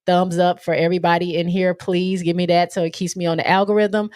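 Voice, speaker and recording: An American woman hosts a casual livestream chat. Her voice is a bit lower than average, in a very dry recording. She speaks informally and bluntly as she responds to viewers. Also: flat delivery, a little fast, darkish tone, clear, resonant tone, no echo. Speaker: livestream host.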